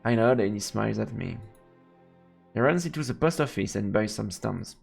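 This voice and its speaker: Gravelly French-accented man streaming a game. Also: fairly low pitch, animated, moderate pace, faint music behind. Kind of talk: live let's play, reading game text aloud and commenting.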